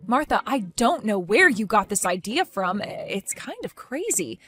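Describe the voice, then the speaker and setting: A woman voicing a young pregnant wife who speaks quietly and hesitantly, pleading to understand. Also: on the high side, big pitch swings, unhurried, soft background music, heavily acted. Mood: bewildered. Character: soft-spoken young pregnant wife, confused and patient.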